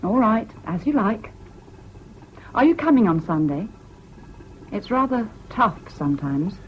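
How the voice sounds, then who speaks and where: A woman's voice: medium pitch, very animated, unhurried. An actress playing an earnest, sardonic young anti-fascist activist woman with an English accent, in 1970s radio drama style. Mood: casual. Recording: muffled old radio recording, faint hiss.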